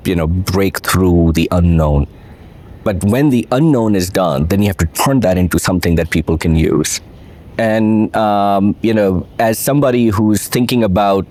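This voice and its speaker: A middle-aged man from Pakistan with a Pakistani accent, a venture capitalist guest telling his story in a relaxed, reflective interview. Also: low-pitched. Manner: reflective, storytelling, mixes in Urdu phrases.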